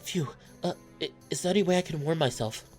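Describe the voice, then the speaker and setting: A man's voice: mid-range voice, animated, quick pace, a bit bright, soft background music, pitched above the performer's own voice, close to an everyday voice. A man voicing a polite, fretful goat servant in a story, stammering as he shivers. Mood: shivering. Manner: stammering, breathless with cold.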